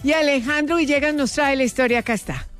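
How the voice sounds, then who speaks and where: A woman hosting a radio show, introducing a story in a lively, conversational way. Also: high-pitched, quick pace, soft background music. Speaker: radio host.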